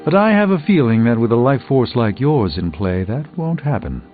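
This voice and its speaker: A man's voice: mid-range voice, big pitch swings, normal speed, phone-quality sound, faint music behind, lightly acted. A man voicing an erudite, self-assured middle-aged sorcerer in a video game, speaking in precise, wordy, pompous phrases. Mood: musing, philosophical.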